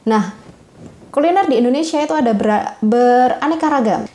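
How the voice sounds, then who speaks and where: A woman's voice: high-pitched, animated, dark tone. Woman tutor in an echoey room. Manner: reads options slowly, explains patiently to viewers. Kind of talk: exam prep lesson explaining answers.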